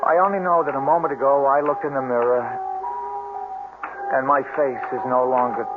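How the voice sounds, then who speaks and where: A devoted, tormented man played by a radio actor, earnest and headstrong, with a mid-pitched voice. It is melodramatic old radio acting, thin and tinny, with loud music behind. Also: steady tone, moderate pace, lightly acted. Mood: grim, resolved.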